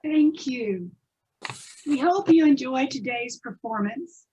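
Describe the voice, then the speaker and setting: A woman introducing children's stories as host, in simple measured phrases to her audience. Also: sits high, expressive, warm tone, lo-fi sound, slight background noise. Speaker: host and presenter of a readers theatre.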